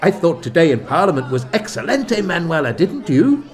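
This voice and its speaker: An actor playing an earnest, excitable village man and narrator in a scripted comedy radio play, with a theatrical manner. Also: a little high, normal speed. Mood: earnest, bemused.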